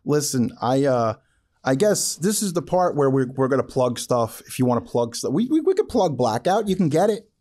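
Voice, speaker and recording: A man's voice: mid-range voice, big pitch swings, normal speed, mellow. A man hosting a podcast in a casual, joking way, rambling and self-deprecating, with the odd casual swear word. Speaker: podcast host interviewing a filmmaker.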